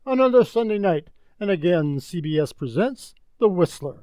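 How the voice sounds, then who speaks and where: A man playing a mysterious narrator of dark tales in a 1940s radio suspense show, ominous and dramatic. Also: sits high, lively intonation, normal speed, no echo, studio quality. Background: loud flute and woodwind music.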